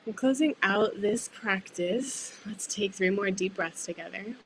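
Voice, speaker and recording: A woman leading a guided breathwork meditation, speaking gently and soothingly with unhurried pauses. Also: mid-range voice, slight background noise, poor audio. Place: live online group call.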